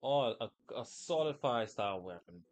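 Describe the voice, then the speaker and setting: A man's voice: mid-range voice, animated, moderate pace. A man chatting casually on a gaming livestream in a slangy, informal way, talking to his viewers. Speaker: game livestreamer.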